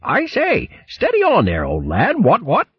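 Blustering jolly hare fellow, high and bouncy, husky, muffled. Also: male voice, huge pitch swings, measured pace, dry recording, full character voice. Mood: blustering cheer. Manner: hearty, blustery, full of "what, what" bluster. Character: jolly blustering male hare warrior, boastful and kind. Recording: muffled lo-fi recording.

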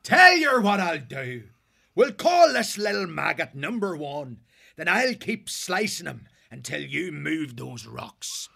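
A man voicing a brutal, gloating sea rat pirate captain in a storybook, rasping and bellowing threats in rustic pirate talk. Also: on the high side, big pitch swings, slow pace, sharp tone, pitched above the performer's own voice, heavily acted. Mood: sly gloating.

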